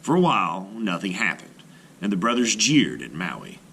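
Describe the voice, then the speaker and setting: Animated, deep-voiced male storyteller. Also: moderate pace, gravelly, a little hiss or hum. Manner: dramatic, carefully phrased storytelling. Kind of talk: expressive children's read-aloud narration.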